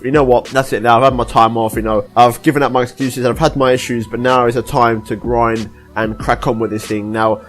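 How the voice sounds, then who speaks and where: A young Englishman, hoarse and husky from a recent cold, rattling off a channel update at a quick clip. His voice sits at a middling pitch, and he rambles and restarts as he apologizes to his viewers. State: hoarse, deep voice after a cold. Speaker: gaming YouTuber. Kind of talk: casual vlog update to viewers.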